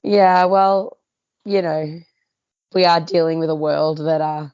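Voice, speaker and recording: Woman podcast co-host, low voice, chatty and easily amused, dry lo-fi sound. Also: fairly even intonation, slow, a little mellow, smooth, dry recording. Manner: chatty, laughs often, trails off mid-thought. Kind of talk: casual book club chat.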